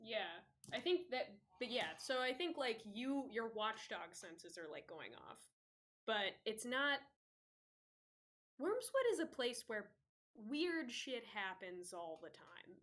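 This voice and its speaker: A woman with a fairly high voice, running a tabletop game in loose, rambling chat. She circles back on her sentences, fills gaps with "like" and "um," and breaks into laughter easily. Her tone stays casual and unpolished. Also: very animated, slow, a bit bright. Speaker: game master.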